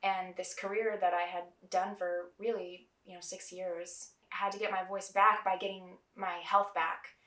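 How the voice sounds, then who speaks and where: Singer-songwriter woman, young, documentary interviewee; reflective, measured; echoey room. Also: on the low side, level tone, pushed and bright. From New Mexico. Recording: roomy, distant mic.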